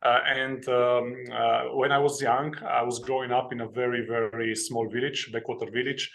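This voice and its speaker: Earnest man, halting speech. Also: medium pitch, level tone, a bit bright. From Slovenia. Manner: hesitant, many "uh" fillers, plain and earnest. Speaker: guest, author and investing educator. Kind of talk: interview storytelling.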